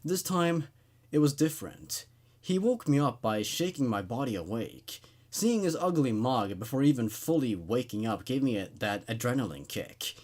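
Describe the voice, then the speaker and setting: A man hosting a horror story reading, narrating in an expressive, conversational, laid-back way. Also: medium pitch, moderate pace. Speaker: host reading horror stories aloud.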